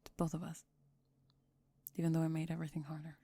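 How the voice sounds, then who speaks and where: A woman playing a calm, laconic, deadpan goth teenage girl with a soft heart in an ASMR roleplay. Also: low-pitched, fast talker, husky, dry recording. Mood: tender, sincere.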